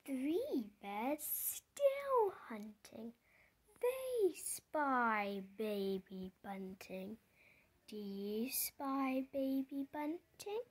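Storybook reader: husky, animated intonation, deliberate phrasing, asks the listener questions while reading a picture book. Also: high-pitched. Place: indoors, book held before the camera.

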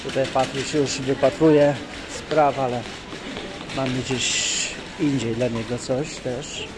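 A man's voice: medium pitch, little pitch movement, slow pace. A man narrating a casual family vlog with dry jokes, filming on a phone outdoors and in a shopping mall. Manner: chatty, dry joking asides. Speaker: vlogger filming his family. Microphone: handheld phone camera. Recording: noisy outdoor phone recording.

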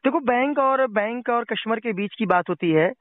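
A man's voice: very high voice, normal speed, dry recording. A man hosting a casual phone conversation, explaining things in a reassuring way and repeating his points. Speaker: host, finance help YouTuber. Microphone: telephone. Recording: tinny narrowband phone call recording.

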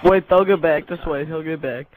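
Male friend in online party chat, somewhat high voice, casual side remarks, faint music behind. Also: normal speed, phone-quality sound. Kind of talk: casual side chat during a prank call.

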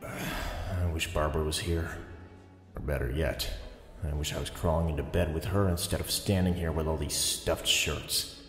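An actor playing an earnest, guarded, weary police detective in an audio drama, dry and understated. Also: male voice, a bass voice, steady tone, normal speed, fairly bright tone. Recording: reverberant hall sound, music behind.

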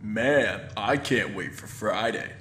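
A man voicing a smug, lecherous villain in a comedic anime parody dub, with a husky, gloating drawl. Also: fairly low pitch, takes it slowly. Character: smug, lecherous villain plotting for online fame.

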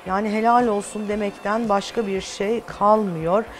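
A woman's voice: medium pitch, fairly even intonation, normal speed. A woman in her thirties hosting a cookery show, interviewing casually and echoing her guest's answers. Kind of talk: casual interview with short reactions. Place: TV studio kitchen set. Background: background music.

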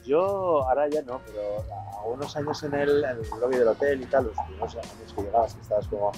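A man's voice: mid-range voice, fast, over music. A middle-aged man with an accent from Spain, telling casual, rambling anecdotes outdoors. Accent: Spanish from Spain. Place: outdoors on residential and city streets.

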